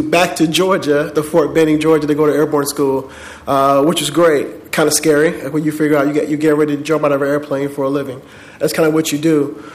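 An American man in his thirties telling his own story to an audience, casually and haltingly, into an event microphone. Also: on the high side. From born in the Bahamas, grew up in Miami and Atlanta, United States. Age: 30s. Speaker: speaker, army veteran. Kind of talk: informal personal storytelling to an audience. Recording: echoey room.

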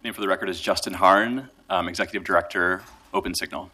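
A man delivering a prepared, formal and measured speech as a nonprofit director in a council chamber. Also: sits low, fairly even intonation, bright, forceful tone.

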